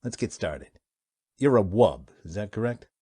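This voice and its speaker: Male reader as a gruff commanding captain, blunt, lively delivery. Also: on the low side, a little slow. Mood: brusque. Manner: brusque, commanding.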